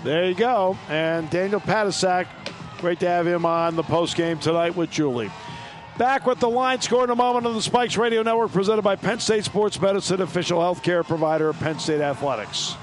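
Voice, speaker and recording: High-voiced male radio play-by-play announcer with a brisk read over a music bed. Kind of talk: radio sports broadcast.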